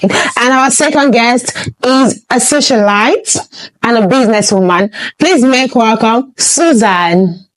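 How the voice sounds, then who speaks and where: A woman's voice: a little high, slow pace, poor audio. Woman hosting a studio talk show. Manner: formal and welcoming, asking guests questions.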